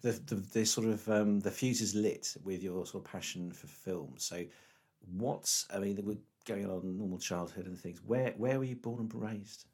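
A man with a low, husky voice hosts a relaxed interview. He is playful and jokey and fills his questions with ums and uhs. His delivery stays loose and conversational throughout. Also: moderate pace. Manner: playful, jokey, full of fillers. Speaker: host. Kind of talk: casual interview chat.

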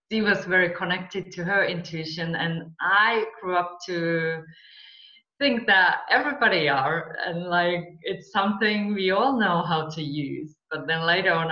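A woman's voice: on the low side, pushed and bright. Finnish woman guest telling her story, takes her time, echoey kinda muffled audio. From Finland. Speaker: interview guest, coach and mentor. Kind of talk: personal storytelling in an interview.

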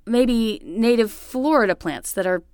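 Woman playing a young babysitter type, pretty high pitched, patient but kinda exasperated, coaxing, no room sound. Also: a little slow, a little mellow, no echo, clean studio sound, natural voice. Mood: dry, wry. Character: patient, wry young female summer nanny. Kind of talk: fiction podcast acting.

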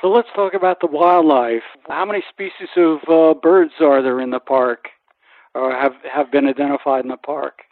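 A man's voice: on the high side, fairly even intonation, normal speed, no echo. A man hosting an interview, asking conversational, inquisitive questions. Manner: inquisitive, with frequent brief acknowledgements. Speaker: radio interviewer, host. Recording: dry, narrow phone-like sound.

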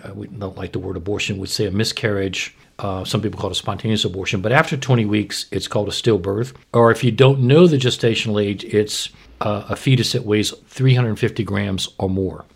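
Plain-spoken man with a slightly husky voice. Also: on the low side, moderate pace, hardly any pauses. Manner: explanatory, plain-spoken, asks and answers own questions. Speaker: podcast host and medical expert. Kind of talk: conversational medical explainer.

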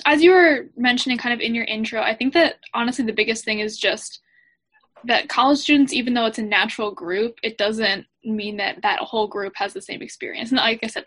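A woman of about twenty from Pennsylvania, speaking as a student guest on a panel. She talks thoughtfully and fills her pauses with ums and uhs. Her voice sits a little high. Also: a bit bright. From Pennsylvania, USA. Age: around 20. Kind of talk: discussion on a panel.

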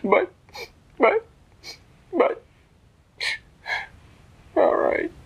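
A man's voice: very high-pitched, very animated, extremely slow, narrow, tinny audio, pitched above the performer's own voice, exaggerated voice. A man voicing a timid, easily scared storybook bear, stammering and whimpering tearfully.

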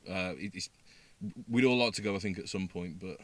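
A man with a Birmingham accent speaks in a very low voice. He talks casually about playing a role on stage, pausing with ums and restarting his sentences often. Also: fairly bright tone, dry recording. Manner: hesitant, many fillers and restarts. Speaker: actor in a stage play. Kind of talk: casual interview chat.

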